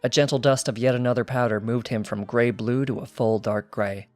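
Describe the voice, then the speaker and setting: A man's voice: mid-range voice, fairly even intonation, brisk, a little mellow, faint music behind. A man reading fan fiction as scripted storybook narration. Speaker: audiobook reader.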